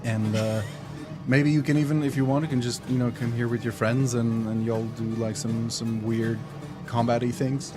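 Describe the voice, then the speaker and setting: A man, not a native English speaker, casually showing off a game on a livestream, full of pauses and fillers. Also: medium pitch, steady tone, over music. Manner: halting, filler-heavy, playful. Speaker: game developer showcasing a game. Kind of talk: casual livestream gameplay chat.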